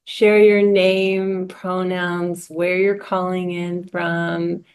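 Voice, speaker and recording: A woman hosting a podcast interview, with a gentle and affectionate manner, speaking over a remote call. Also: on the low side, flat delivery, drawn-out words, clear, resonant tone. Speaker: podcast host and astrology teacher.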